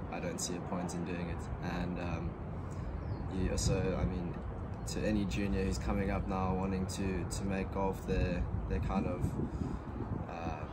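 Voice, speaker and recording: A young South African man in his late teens, answering interview questions outdoors in a soft-spoken, hesitant way with many ums. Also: sits low. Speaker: junior golfer interviewee. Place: outdoor deck overlooking a golf estate. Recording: loud outdoor background noise.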